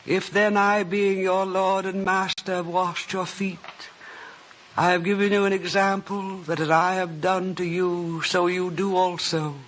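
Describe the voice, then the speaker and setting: Slow, high-voiced solemn scripture narrator. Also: male voice, level tone, fairly bright tone, slight background noise, rough recording. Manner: reverent, declamatory, formal. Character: solemn male scripture narrator voicing a grave Jesus. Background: prominent music.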